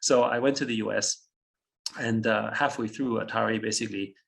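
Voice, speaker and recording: Gravelly man telling his story. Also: on the low side, fairly even intonation, normal speed, a bit bright. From Austria. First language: German. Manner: reflective, chuckles often, restarts phrases. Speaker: guest. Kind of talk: conversational interview storytelling.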